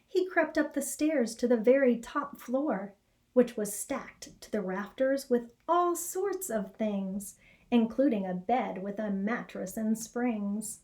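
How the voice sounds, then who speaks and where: Female storybook reader, middle-aged, soft mellow tone, slow measured delivery with expression. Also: fairly high pitch. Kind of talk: read-aloud children's story narration. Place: small indoor classroom or office.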